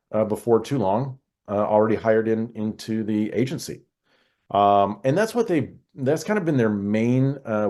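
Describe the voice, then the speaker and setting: An American man explaining things conversationally as an expert interview guest, in a halting way with frequent pauses and repetitions. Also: on the low side, darkish tone. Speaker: podcast guest, air traffic control expert.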